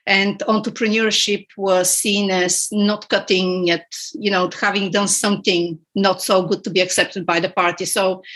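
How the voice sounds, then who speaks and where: A woman's voice: mid-range voice, a bit bright. A middle-aged woman from Bulgaria, a podcast guest telling her story in a reflective, hesitant way with frequent fillers. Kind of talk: conversational interview.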